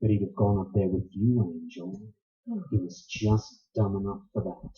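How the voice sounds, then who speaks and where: Man acting a hard-boiled, dark-voiced private detective. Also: low-pitched, level tone, normal speed, close to an everyday voice. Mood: accusing. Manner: clipped, tough, accusing. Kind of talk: 1940s radio noir acting. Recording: dull, echoey room recording.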